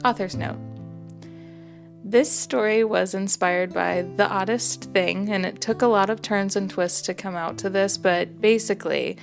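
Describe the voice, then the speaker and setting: Soft-spoken creaky woman reading a story. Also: mid-range voice, steady tone, measured pace, faint music behind. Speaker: author and narrator. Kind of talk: first-person story reading.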